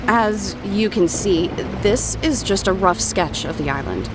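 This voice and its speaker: A woman's voice: medium pitch, lively intonation, measured pace. A woman playing a guarded tech tinkerer. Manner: guarded, explanatory. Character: guarded, clever female tech tinkerer survivor. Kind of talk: audio drama acting. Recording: music under the voice.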